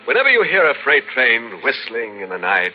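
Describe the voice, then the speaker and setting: Droll, swooping macabre horror host. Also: male voice, mid-range voice, huge pitch swings, brisk. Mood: gleefully macabre. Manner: ghoulishly playful, droll, theatrical. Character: macabre, mockingly sympathetic horror show host. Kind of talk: 1940s radio horror host patter. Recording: dull old radio recording with music.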